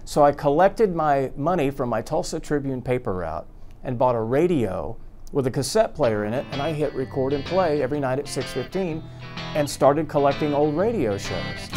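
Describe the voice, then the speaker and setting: A man's voice: mid-range voice, animated, normal speed. American man reminiscing over guitar music. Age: 50s. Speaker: old-time radio show host. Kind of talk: interview reminiscence. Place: small radio studio office. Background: plucked guitar music.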